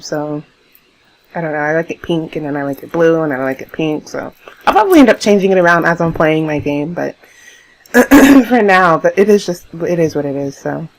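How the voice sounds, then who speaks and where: A young woman talking casually over her game build in a rambling, chatty way, laughing often, her voice hoarse. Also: sits low, animated, brisk, rough recording. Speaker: YouTuber narrating a game build.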